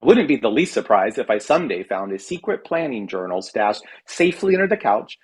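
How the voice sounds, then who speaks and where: A man's voice: mid-range voice, big pitch swings, a little fast, a little mellow, breathy edge. An American man reading his humorous personal essay aloud in a wry, mock-dramatic manner. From California, United States. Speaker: writer reading own comic essay. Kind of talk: humorous storytelling read aloud.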